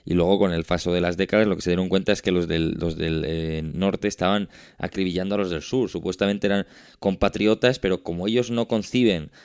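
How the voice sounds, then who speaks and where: A man's voice: low voice, fairly even intonation, fairly quick, darkish tone, clear, resonant tone, dry recording, studio quality. A man with a Castilian Spanish accent hosting a podcast discussion, explaining things conversationally and often checking in with tag questions. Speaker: podcast host.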